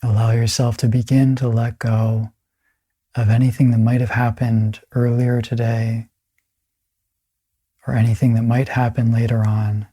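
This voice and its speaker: Slow, breathy man guiding meditation. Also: mid-range voice. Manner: calm, soothing, long pauses between phrases. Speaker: meditation guide. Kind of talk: guided meditation.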